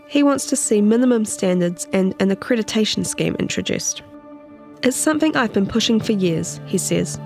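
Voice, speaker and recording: A woman's voice: medium pitch, gravelly, darkish tone. A woman with a New Zealand accent reading a scripted piece of longform journalism as its narrator.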